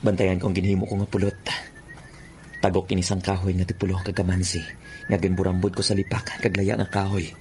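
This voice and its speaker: A man's voice: low-pitched, monotone, brisk, dark tone, a little hiss or hum. A man with a Western Visayan Filipino accent playing an eager, greedy young bird catcher, a gleeful son, in radio drama. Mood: scheming. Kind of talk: Philippine radio drama acting.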